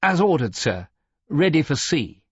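A man's voice: fairly high pitch, sing-song, a little slow, a bit bright, breathy edge, no echo, muffled recording, pitched above the performer's own voice, exaggerated voice. A man voicing a stiff, officious and eager young naval lieutenant in an audiobook sea story. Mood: anxious, eager.

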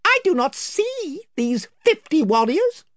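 A man's voice: very high-pitched, very animated, slow pace, fairly bright tone, no echo, studio quality, voice pushed up, full character voice. A man voicing a tiny, gallant male talking mouse knight with a fierce, formal manner. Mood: haughty.

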